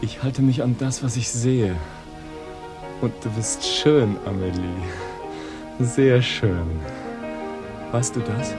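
A man's voice: medium pitch, slow pace, pauses often, lightly acted. An actor playing a smitten young man in a radio drama, tender and wooing. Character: young man newly awakened, smitten lover. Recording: music under the voice.

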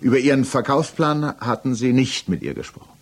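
An actor playing a probing, sceptical examining magistrate in a German radio play, speaking precisely and pointedly. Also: male voice, medium pitch, lively intonation, brisk, lightly acted. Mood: pressing, accusing.

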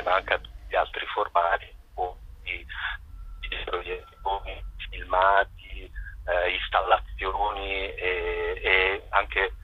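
A man giving unscripted interview answers as a festival director, speaking haltingly with drawn-out words and repetitions. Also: low voice, husky, no room sound, highs cut off.

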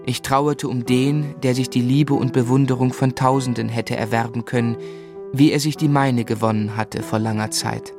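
First-person narrator, male actor: mid-range, earnest, dramatic gentleman for literary radio drama, over a music bed. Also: mid-range voice, fairly even intonation, moderate pace, natural voice. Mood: sorrowful. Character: sorrowful gentleman recounting his own past.

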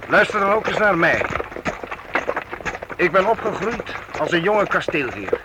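High-voiced hearty young knight, noisy recording. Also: male voice, sing-song, unhurried. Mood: earnest. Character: young nobleman knight, former minstrel, hearty and frank. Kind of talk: period radio play acting. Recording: very noisy old radio drama recording.

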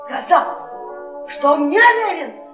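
An actress playing a brazen, spiteful middle-aged wife in a radio play, with drawling, theatrical mockery. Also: female voice, very high voice, lively intonation, slow pace, heavily acted. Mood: gleeful mockery. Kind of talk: stage-style radio play acting. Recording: echoey old radio play, music behind.

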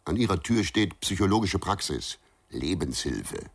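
A man's voice: sits low, sing-song, unhurried, creaky, breathy edge, exaggerated voice. An actor in a radio crime drama playing a weary, dogged former policeman who talks in terse, plain sentences.

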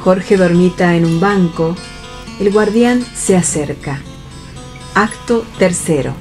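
Unhurried Argentine woman narrator over guitar. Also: on the low side, a little mellow. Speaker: narrator reading stage directions. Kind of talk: scripted radio theatre narration. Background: guitar music.

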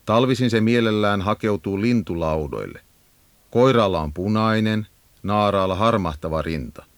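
A man reading scripted educational narration for a nature guide in a measured, instructive manner. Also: lowish voice, no room sound. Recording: 1980s audio cassette narration.

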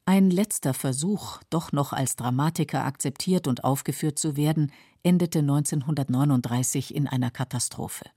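A woman reading scripted biographical narration in a measured, matter-of-fact way, as a documentary narrator. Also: sits low, mellow, breathy edge, no echo, clean studio sound. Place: dry studio.

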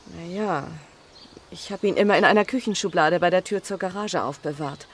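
A woman's voice: on the low side, moderate pace, slight background noise, close to an everyday voice. An actress playing a genteel married woman under suspicion, airy, rueful and evasive, in a radio crime drama. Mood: evasive, uneasy.

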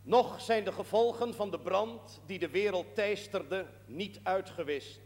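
Echoing, high-pitched solemn priest. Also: male voice, a little mellow. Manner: solemn, measured, declamatory. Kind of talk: sermon in a period television drama. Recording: echoing church-like hall, long reverb.

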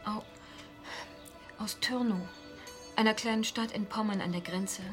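A woman's voice: medium pitch, fairly even intonation, a little slow, faint music behind, close to an everyday voice. An actress playing a nervous, earnest young provincial woman in a radio drama, hesitant and stammering. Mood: earnest, flustered. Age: young adult. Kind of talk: German radio drama dialogue.

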